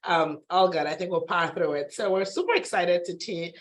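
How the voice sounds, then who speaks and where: A woman physician giving an introductory health webinar over a video call, her voice hoarse from a sore throat, conversational with frequent fillers and throat clearing. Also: lowish voice, brisk, slight background noise. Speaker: physician presenter. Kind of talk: webinar lecture.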